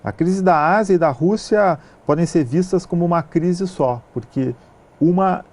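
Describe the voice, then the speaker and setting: Gaúcho guy, mellow warm voice, explains slow and steady, lots of "ah" pauses. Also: fairly high pitch. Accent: gaúcho Brazilian Portuguese. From southern Brazil (Rio Grande do Sul). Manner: measured, hesitant with frequent fillers. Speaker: film producer, interview guest. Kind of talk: explanatory interview answers.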